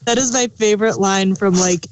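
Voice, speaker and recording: A woman's voice: mid-range voice, moderate pace, darkish tone, a little hiss or hum. A woman co-hosting an unscripted review, talking in an informal, bantering way. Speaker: podcast co-host. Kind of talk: casual unscripted review chat.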